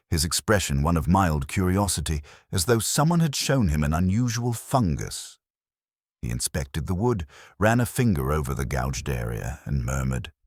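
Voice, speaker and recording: Guy narrating a mystery story, really low voice, dry close sound, measured and literary. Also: slightly rough, no room sound. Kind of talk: scripted radio mystery narration.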